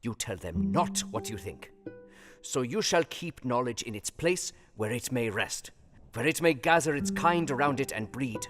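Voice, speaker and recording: A man acting an animated, wise old professor. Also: medium pitch, lightly acted. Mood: cautioning, grave. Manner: halting, emphatic, foreign-phrased counsel. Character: wise older Dutch professor, grave, kindly, eccentric mentor. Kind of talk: audio drama acting. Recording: long reverb, music behind. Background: guitar music.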